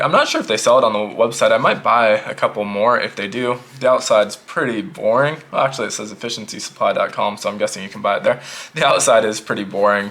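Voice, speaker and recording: A man casually reviewing a notebook pouch in a relaxed, rambling way, a little sick and slightly hoarse. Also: on the low side. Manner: relaxed, rambling, repeats himself. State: losing his voice, a bit sick. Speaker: EDC gear reviewer.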